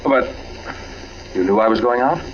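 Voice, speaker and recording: A man's voice: mid-range voice, lively intonation, moderate pace, close to an everyday voice. A male actor plays a loyal, hesitant friend in a vintage radio mystery. His voice swings expressively as he questions and reasons. The old recording is dull, with faint noise. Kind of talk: 1940s radio mystery acting.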